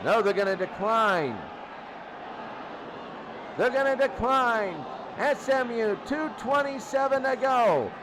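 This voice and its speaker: A man calling football play-by-play in radio commentary style, excitable and repeating phrases for emphasis. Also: extremely high pitch, slow pace. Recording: loud crowd and noise behind.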